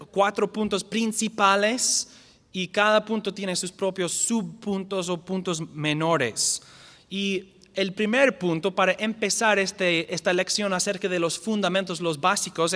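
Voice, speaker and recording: A man who is a non-native speaker, teaching a church lecture series as pastor, earnest, often restarting words and slowly repeating key definitions. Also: sits high, fairly bright tone, long reverb tail. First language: not the language spoken. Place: church hall.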